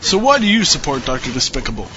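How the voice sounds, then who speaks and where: A man's voice: mid-range voice, fast talker, lightly acted. A man playing a perky, inquisitive field correspondent in a parody street-interview news segment. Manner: inquisitive, animated questioning. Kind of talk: parody vox-pop reporting. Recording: dull audio, loud background noise.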